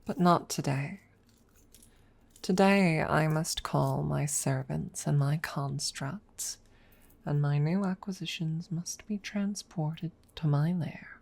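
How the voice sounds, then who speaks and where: A woman voicing a proud, guarded female dragon in an ASMR audio roleplay, speaking formally and deliberately in a close, breathy murmur that often slips toward a whisper. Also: deep voice, darkish tone, exaggerated voice. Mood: coy, tentative. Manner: formal, deliberate, hesitant, with long pauses. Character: proud, guarded female dragon secretly fond of her rival. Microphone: close microphone.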